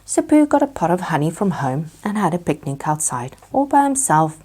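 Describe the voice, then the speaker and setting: Female children's bedtime narrator, slightly low register, animated and expressive. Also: normal speed, breathy edge. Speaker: storyteller.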